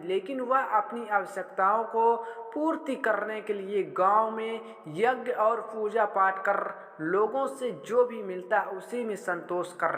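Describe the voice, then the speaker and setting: A man's voice: high voice, a bit bright. A man reading a scripted children's story as a storyteller. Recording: long hall-like reverb.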